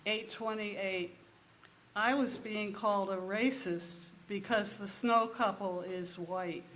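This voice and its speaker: A woman with a soft voice performing a deadpan comic monologue at a live show, in a measured, list-like delivery with timed pauses. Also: mid-range voice, a little hiss or hum, poor audio.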